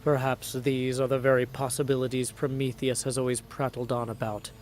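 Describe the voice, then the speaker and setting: A man's voice: mid-range voice, level tone, brisk, darkish tone, slight background noise, natural voice. A man voicing a lofty, pompous ruler of the gods in a video game, with formal, grand phrasing. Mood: lofty, measured.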